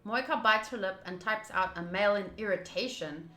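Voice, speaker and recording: Casting: female game streamer, bright mid-pitched voice in an echoey room, narrating on-screen text with informal asides. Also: moderate pace. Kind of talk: reading a visual novel aloud with casual asides to viewers. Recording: roomy echo. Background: game music.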